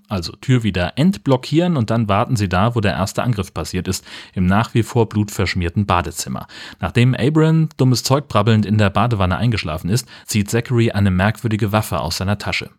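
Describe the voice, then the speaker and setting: A man with a slightly low voice co-hosts a film podcast. He narrates the story plainly from notes. Between passages he chats in a relaxed, conversational way. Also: fairly quick. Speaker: podcast host. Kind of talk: film recap narration and casual chat.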